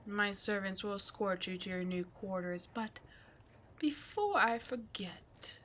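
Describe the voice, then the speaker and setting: A scheming, seductive demon queen, played by a woman in an audio drama. She speaks slowly and teasingly, letting her taunts linger and slipping into breathy whispers. A faint ambient hum sits behind her voice. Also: medium pitch, lively intonation, a bit bright. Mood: taunting.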